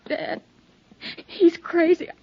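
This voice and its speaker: A woman's voice: extremely slow, darkish tone, no room sound. An actress playing a frightened, tearful young woman in an old radio drama. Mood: pleading. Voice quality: tearful, pleading. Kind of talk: 1940s radio acting. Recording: lo-fi old radio recording, faint noise.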